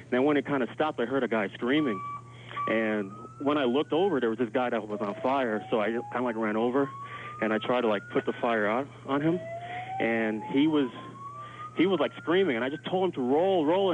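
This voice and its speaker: Informal man on a noisy phone line. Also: medium pitch. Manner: informal, loose phrasing full of 'like'. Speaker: hotel worker phoning in as an eyewitness. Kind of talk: live eyewitness phone account. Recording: dull noisy phone line, sirens behind. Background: alarms and emergency sirens.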